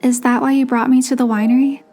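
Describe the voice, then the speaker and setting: Female narrator, higher register, scripted romantic drama storytelling, music underneath. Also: little pitch movement, fast, warm tone. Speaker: narrator and voiced player character of a game story. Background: music behind the voice.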